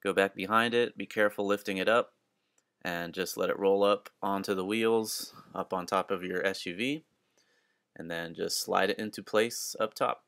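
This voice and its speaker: A man's voice: low voice, steady tone, moderate pace, a bit bright, clear, resonant tone, no room sound, clean studio sound. A man presenting a fishing channel, giving plain step-by-step instructions with frequent filler sounds. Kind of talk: instructional how-to narration. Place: outdoors on a home driveway.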